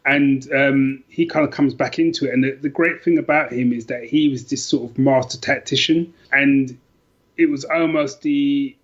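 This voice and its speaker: Male podcast guest, mid-to-low pitch, informal book talk, slightly lo-fi sound. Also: fairly even intonation, normal speed. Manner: informal and colloquial. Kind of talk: casual book chat.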